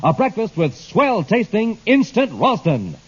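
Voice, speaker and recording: An American male announcer from a vintage radio broadcast. His voice is high and very animated, pitching everything with punchy enthusiasm. The recording is dull, with faint noise. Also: a little slow, husky. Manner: punchy, hard-sell, enthusiastic.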